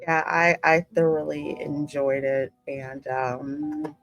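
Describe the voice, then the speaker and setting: A woman's voice: low-pitched, steady tone, slow, creaky, faint music behind. A woman in casual, informal chat as a gaming co-host, with little self-mocking asides. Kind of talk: casual chat reacting to a game.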